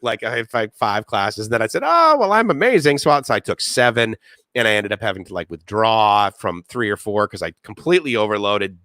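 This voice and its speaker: Rambling man, bright low voice. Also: no room sound. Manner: rambling, lots of fillers and restarts, reads chat aloud. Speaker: live stream host. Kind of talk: casual live-stream chat.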